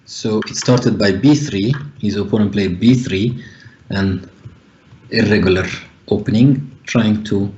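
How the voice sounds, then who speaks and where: A man's voice: fairly low pitch, measured pace, darkish tone, highs cut off, a little hiss or hum. Hesitant man commentating chess. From Egypt. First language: Arabic. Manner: thinking aloud, frequent hesitations. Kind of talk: live online chess commentary.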